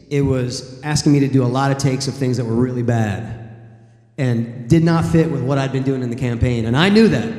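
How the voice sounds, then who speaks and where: A man, a voice actor on a convention panel, telling a long studio anecdote in a relaxed, rambling way. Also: medium pitch. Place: large convention hall. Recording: echoey hall with long reverb.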